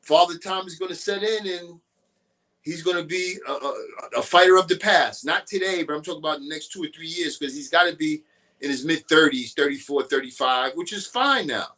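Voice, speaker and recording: A talkative man with a somewhat high voice chats as a guest on a boxing show. His talk is loose and opinionated, rolling from one point to the next. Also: fairly quick, sharp tone, rough recording.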